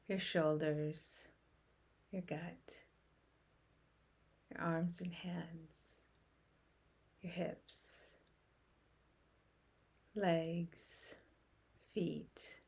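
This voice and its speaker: A woman leading a guided meditation in a soft, hushed voice that trails toward a whisper, with long pauses between short phrases. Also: fairly low pitch, breathy edge.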